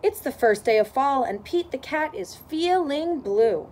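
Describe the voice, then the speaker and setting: A woman reading a children's storybook aloud in expressive narration. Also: high voice, a little slow. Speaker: storybook reader.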